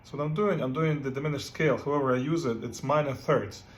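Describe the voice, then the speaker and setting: Man, guitar teacher, halting casual delivery, medium pitch. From Ukraine. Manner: halting, many fillers and "right?" checks. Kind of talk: casual music lesson with playing. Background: guitar playing.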